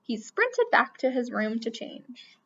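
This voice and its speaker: A woman's voice: on the high side, slow. Woman reading a children's book aloud. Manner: expressive storytelling. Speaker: reader. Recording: dull lo-fi recording.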